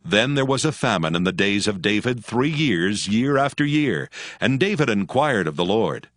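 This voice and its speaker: A man narrating scripture from a script in a measured, solemn manner. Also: lowish voice, very animated, no echo, clean studio sound. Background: background music.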